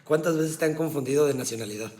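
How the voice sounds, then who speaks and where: A man with a Mexican accent hosting a podcast interview, laid-back and slangy, quick to agree and chime in. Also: mid-range voice, dark tone. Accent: Mexican Spanish. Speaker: podcast host.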